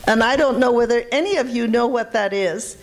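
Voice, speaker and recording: Older woman with a higher-pitched voice. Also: fairly quick. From Shanghai, China. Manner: anecdotal, emphatic, repeats words for stress. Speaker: guest speaker at a public food history talk. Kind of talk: informal spoken reminiscence to an audience.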